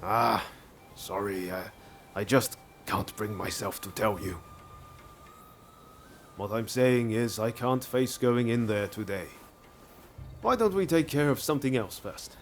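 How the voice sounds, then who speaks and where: A man's voice: lowish voice, expressive, measured pace, long pauses, slight background noise. A man playing an earnest, easygoing and idealistic rebel swordsman of 1860s Japan in a video game, speaking informally and sincerely. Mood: hesitant, sheepish. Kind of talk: dramatic cutscene dialogue. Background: background music.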